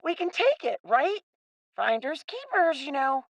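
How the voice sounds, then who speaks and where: A woman voicing an eager, cheeky little boy in a radio play, with an excitable, childlike delivery. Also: high voice, unhurried, gravelly, dry recording, full character voice.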